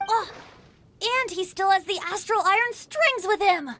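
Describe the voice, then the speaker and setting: A woman's voice: extremely high pitch, a little slow, bright, forceful tone, full character voice. A woman voicing a playful young bard in a video game, with flowery, lilting, theatrical delivery.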